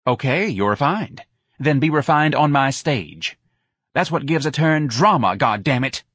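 A man's voice: medium pitch, lively intonation, unhurried, a bit bright, breathy edge, no echo, studio quality, pitched above the performer's own voice. Man as a bossy, snapping female club owner. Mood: bossy, impatient. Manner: snapping, contemptuous, crude. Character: hard-nosed, bossy, scornful female nightclub owner Lana.

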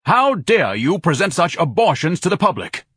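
A man voicing a pompous, indignant male hot dog vendor in an audiobook reading, loud and theatrical. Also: medium pitch, very animated, brisk, slightly rough, dry recording, clean studio sound, pitched above the performer's own voice, full character voice.

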